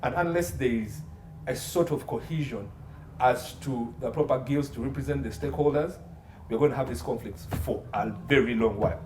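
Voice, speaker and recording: A man's voice: medium pitch, moderate pace, darkish tone. A man explaining as an interview guest, emphatic, repeating phrases and posing rhetorical questions. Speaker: legal consultant, interview guest. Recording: echoey room with faint background noise.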